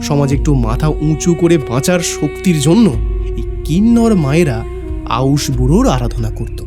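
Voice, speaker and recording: A man's voice: a little high, animated, moderate pace, over music, close to an everyday voice. A man playing a grave, knowledgeable explainer of village folk belief in a horror audio drama, speaking emphatically. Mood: grave, explanatory. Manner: explanatory, emphatic, rhetorical questions.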